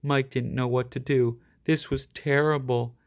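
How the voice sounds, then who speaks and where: A man reading a children's picture book aloud as an expressive storyteller. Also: mid-range voice, unhurried. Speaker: storybook reader.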